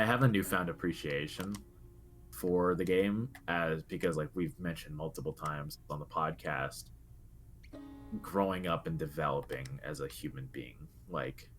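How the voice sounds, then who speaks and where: A young man with a very low, deep voice speaks as a podcast co-host. His delivery is casual, earnest and reflective, and music plays underneath him. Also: fairly bright tone. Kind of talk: casual video game discussion.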